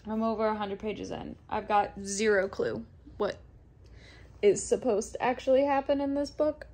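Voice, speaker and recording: A woman's voice: medium pitch, steady tone, normal speed, a little mellow. A woman chatting informally in a video diary, rambling and correcting herself as she goes. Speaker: daily vlogger. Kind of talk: casual video diary chat.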